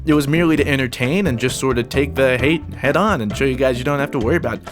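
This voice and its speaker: A man hosting a casual vlog, upbeat and energetic as he reads viewer comments. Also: medium pitch, fast talker, music in the background. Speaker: YouTube gaming channel host.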